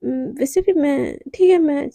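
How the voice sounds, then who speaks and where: Woman playing a timid young woman, hesitant, soft-spoken, high voice, dry close sound. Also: normal speed, vocal fry, no room sound. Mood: apologetic.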